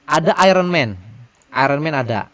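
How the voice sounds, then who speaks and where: A man's voice: fairly high pitch, animated, moderate pace. A man hosting a casual product demo and review. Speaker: reviewer and tutorial host. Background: faint music.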